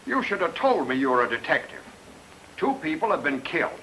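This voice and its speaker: An actor playing a stern, suspicious police chief who talks brusquely and accusingly in 1940s noir style. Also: male voice, a little high, sing-song, fairly quick, bright, forceful tone, rough recording. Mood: accusing, pressing. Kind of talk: 1940s film noir dialogue. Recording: old film soundtrack with faint noise.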